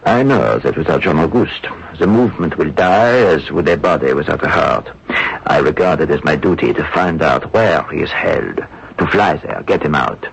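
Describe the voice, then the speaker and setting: An actor playing an anxious but resolute minister in a wartime underground, grave and urgent, in a radio drama. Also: male voice, extremely low pitch, moderate pace, creaky, a little hiss or hum. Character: anxious, resolute Protestant minister in the French Resistance. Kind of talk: old-time radio drama acting. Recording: dull lo-fi radio broadcast recording.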